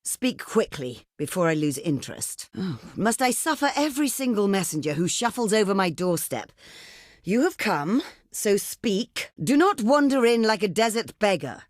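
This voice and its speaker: A woman's voice: medium pitch, very animated, moderate pace, no echo, studio quality, natural voice. Haughty, dramatic desert queen, played by an actress. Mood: impatient, disdainful. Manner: haughty, theatrical, formal and ornate phrasing. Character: proud, imperious ancient desert queen, scornful and grandly courteous. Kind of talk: video game diplomacy voice lines.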